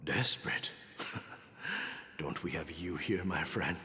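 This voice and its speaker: A man playing a kindly, determined old watchmaker and adventurer in a game, reassuring and unhurried. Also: sits low, animated, heavily acted. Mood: calm, scheming. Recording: game audio, long reverb, loud music.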